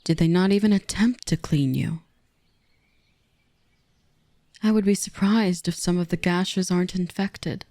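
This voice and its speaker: A woman playing a dominant yet protective dark elf mistress in an ASMR roleplay, by turns cutting and tender. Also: mid-range voice, no echo. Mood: tender, concerned. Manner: stern and cutting to traders, tender and coaxing to the human. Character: dominant female dark elf, protective, gentle with her rescued human.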